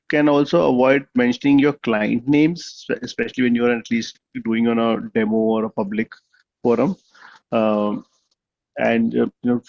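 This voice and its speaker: A man's voice: mid-range voice, a little mellow, dull audio. A man giving conversational spoken feedback at a club meeting over a video call, with many restarts and fillers. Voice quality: gravelly. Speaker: meeting evaluator.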